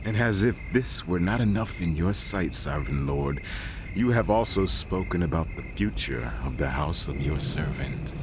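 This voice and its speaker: A man's voice: low voice, lively intonation, moderate pace, noisy background. A man playing a devout, humble king in a dramatized scripture reading, earnest and reverent. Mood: humble awe.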